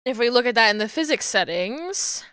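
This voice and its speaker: A woman on a live stream thinking aloud as she explains her rigging steps to chat, her voice going hoarse. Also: on the high side, animated, normal speed, a bit bright, no echo. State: losing her voice. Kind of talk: casual live-stream talk while working.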